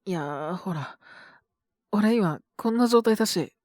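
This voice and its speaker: A woman playing a sick young male schoolteacher in a Japanese audio drama, gentle and flustered, speaking hesitantly in a weak, feverish mumble. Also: medium pitch, animated, no room sound, studio quality. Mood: apologetic, hesitant. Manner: hesitant, mumbling, trailing off with many pauses. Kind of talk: Japanese boys' love situation voice drama.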